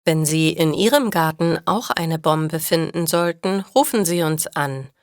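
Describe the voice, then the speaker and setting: A calm, reassuring policeman, read by a woman in a storybook. The speech is very slow and measured, slightly low for a woman's voice. The recording is dry. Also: dry recording.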